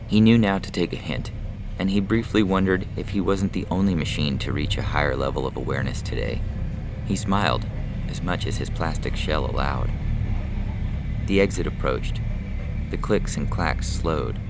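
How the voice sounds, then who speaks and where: A man reading scripted science fiction narration in a gravelly storytelling voice. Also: very deep voice, noisy background.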